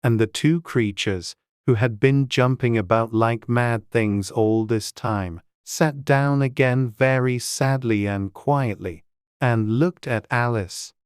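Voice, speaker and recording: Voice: medium pitch, slow, darkish tone, clear, resonant tone, no echo, clean studio sound. A narrator reading a storybook aloud as scripted audiobook narration.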